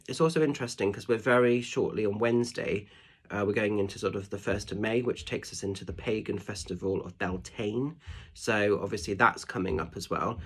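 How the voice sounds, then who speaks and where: A man, a spiritual medium, giving a casual oracle card reading in a nasal, stuffed-up voice, chatty and gently reassuring. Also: on the low side, slightly rough. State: congested with hay fever or a cold. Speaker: spiritual medium and tarot reader.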